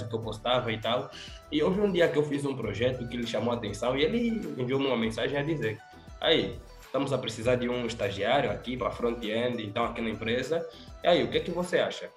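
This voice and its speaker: Young Angolan man in an echoey room. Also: mid-range voice. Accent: Angolan Portuguese. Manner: relaxed, hesitant with fillers. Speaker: interviewee, programming intern. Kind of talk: casual interview. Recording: echoey room, faint music.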